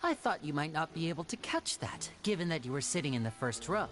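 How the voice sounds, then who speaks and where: A man's voice: on the high side, sing-song, fast talker, a bit bright. A man playing a courteous, theatrical young male stage magician in a game. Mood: suave. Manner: smooth, courteous, teasing. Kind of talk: game cutscene voice acting. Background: game music.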